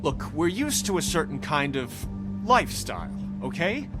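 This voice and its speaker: A man's voice: a little high, very animated, normal speed, loud music behind the voice. A man playing a defensive, suspicious husband in a video game, with a sarcastic, confrontational manner. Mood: defensive, worried.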